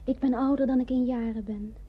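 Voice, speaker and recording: An actress playing a fisherman's wife aged before her time, speaking with husky, declamatory intensity in a radio drama. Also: fairly high pitch, fairly even intonation, a little fast. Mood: bitter, insistent. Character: prematurely aged, fiercely resolute fisherman's wife, atomic survivor. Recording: dull old radio play recording.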